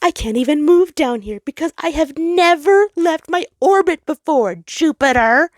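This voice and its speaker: Casting: woman playing a wounded male Sun in human form, high-pitched, pleading, bitterly hurt, for narrated fiction. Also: animated, unhurried, dry recording, studio quality. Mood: heartbroken anger. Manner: pleading, crying out in hurt anger. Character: the Sun as anguished male star in human body.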